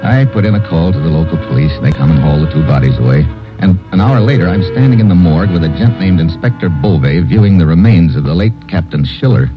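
An actor playing a tough, wisecracking investigator in an old-time radio drama, clipped and sardonic. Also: male voice, low-pitched, animated, quick pace, over music. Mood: grim, dry. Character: tough, wisecracking male investigator narrating his case. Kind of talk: hard-boiled old-time radio narration and acting. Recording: dull old radio recording.

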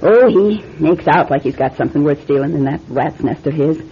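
Low-voiced, gossipy landlady. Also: animated, fairly quick. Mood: dismissive, gossipy. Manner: chatty, folksy, offhand. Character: gossipy, dismissive, plain-spoken landlady. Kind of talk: old-time radio drama acting. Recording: dull, noisy old radio drama recording.

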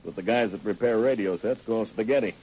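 A plainspoken deputy, played by a man, talks in a lowish, folksy, matter-of-fact way. It is old-time radio crime acting on a thin, tinny recording. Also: brisk, lightly acted. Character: plainspoken, folksy sheriff's deputy showing evidence.

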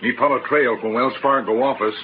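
A man's voice: mid-range voice, fairly even intonation, fairly quick, natural voice. Man acting a terse scout in muffled old radio western. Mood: grave, reporting. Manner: clipped broken English, terse reports. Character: loyal male Native scout companion, terse and steady. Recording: muffled vintage radio broadcast with noise.

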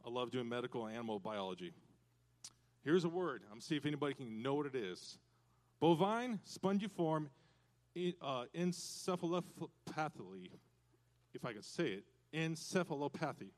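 A man preaching a lively sermon to youth and parents in a church, animated and breaking into loud cowboy shouts. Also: medium pitch, normal speed. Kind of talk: lively hands-on sermon with props.

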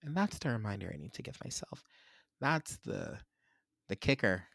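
A man's voice: medium pitch, expressive, moderate pace, gravelly, fairly bright tone, slightly rough, no room sound, studio quality. A man, hosting a solo podcast and reflecting in a candid, rambling, self-searching way. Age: 20s. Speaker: podcast host.

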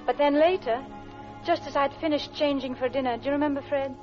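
A woman's voice: sits high, brisk, natural voice. An actress playing a guilty, lovelorn married Englishwoman in an old radio drama, with a clipped, genteel English accent and wistful restraint. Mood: dreamy. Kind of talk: old radio drama acting, first-person narration. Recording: muffled old radio recording, music behind.

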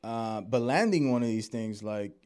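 A man, an actor, chatting as a podcast guest in a relaxed, rambling way. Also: lowish voice, normal speed, smooth. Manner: laid-back, rambling, many fillers. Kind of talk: casual chat.

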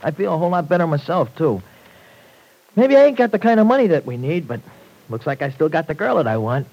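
A brash young rancher in a vintage radio soap. He talks in loose, ungrammatical slang, cocky yet big-hearted, and his pitch swings freely up and down. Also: sing-song, fast talker, darkish tone, low-quality recording. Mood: warm, relieved. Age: young adult. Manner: slangy, ungrammatical folksy talk. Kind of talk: old-time radio serial acting.

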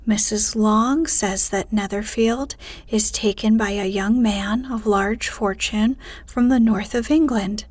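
A woman voicing a fussy, excitable middle-aged mother in a soft, breathy whisper during a bedtime storybook reading. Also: medium pitch, takes it slowly, dry recording.

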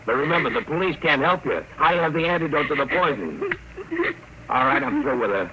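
A man's voice: on the high side, expressive, brisk, bright, forceful tone. A cold, bullying gangster, with a tense, hard-edged voice. He sneers and gives curt orders in vintage radio drama style, over a faintly noisy recording. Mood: smug menace. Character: cold, bullying masked gangster kidnapper, menacing and smug. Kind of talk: old-time radio drama acting. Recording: slightly noisy old radio broadcast.

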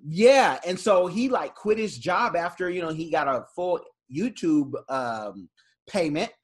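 A man's voice: on the high side, lively intonation, a little slow, slightly rough. A man hosting a casual conversation, telling stories and laughing between remarks. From United States.

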